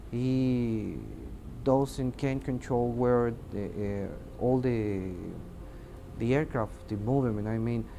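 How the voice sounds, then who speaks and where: A man with a Mexican accent, a native Spanish speaker, giving testimony in an interview and haltingly searching for words in his second language. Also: medium pitch, dark tone, slight background noise. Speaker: air traffic controller giving testimony. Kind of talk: interview testimony, unscripted recounting.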